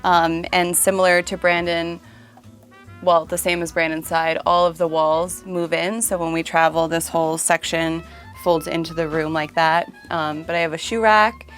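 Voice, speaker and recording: A woman's voice: fairly low pitch, little pitch movement, moderate pace, fairly bright tone, faint music behind. A woman giving a casual, conversational tour of her own home as its owner. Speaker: homeowner giving a house tour. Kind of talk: casual house tour narration.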